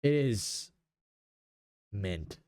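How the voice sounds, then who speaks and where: A man's voice: on the low side, animated, extremely slow, darkish tone, smooth, dry recording, clean studio sound. A young man in casual gaming chat, speaking in a slangy, enthusiastic way. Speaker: podcast host.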